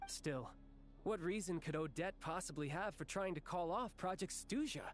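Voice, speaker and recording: A man's voice: high voice, moderate pace, soft background music, close to an everyday voice. A man playing a sly, genteel young official in a video game, speaking in a playful, articulate and theatrical way. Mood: musing, curious. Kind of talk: video game character acting.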